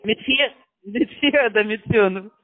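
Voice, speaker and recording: A man as a phone scammer, speaking halting, broken German patiently and repetitively on a phone call. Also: sits high, no room sound. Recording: tinny phone line.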